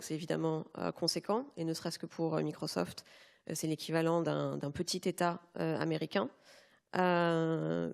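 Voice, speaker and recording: A woman speaking on a conference panel in a measured way, pausing often with hesitation fillers. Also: on the low side, vocal fry, a little mellow.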